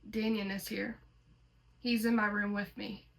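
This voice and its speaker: A woman's voice: medium pitch, flat delivery, unhurried, gravelly. A woman reading a horror story aloud as host, slipping between dramatic reading and casual commentary.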